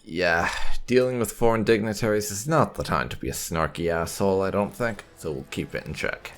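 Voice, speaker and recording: A man's voice: deep voice, brisk, a bit bright. A man giving casual playthrough commentary as a gaming host, with dry, muttered asides. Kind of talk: casual playthrough commentary, some in-character line reading.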